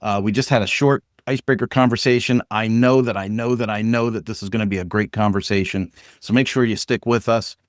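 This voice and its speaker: A man's voice: fairly low pitch, steady tone, brisk, few pauses, dry recording, studio quality. A man hosting a podcast, upbeat and chatty, joking casually with his guest. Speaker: podcast host. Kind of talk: casual interview.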